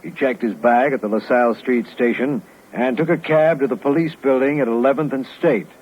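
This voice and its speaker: Casting: man as composed retired lieutenant, mid-pitched, formal case narration, phone-like vintage radio sound. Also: natural voice. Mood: composed, authoritative. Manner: formal, measured, matter-of-fact. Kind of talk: scripted 1950s radio narration. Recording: thin, narrowband 1950s radio recording.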